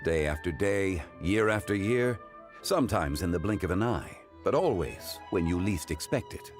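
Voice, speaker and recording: Casting: a very low, formal, unhurried sage with lively, rolling intonation over soft game music. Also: male voice. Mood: calm, sage. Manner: measured, philosophical, formal. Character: calm wise ancient man, measured. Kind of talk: game cutscene voice acting.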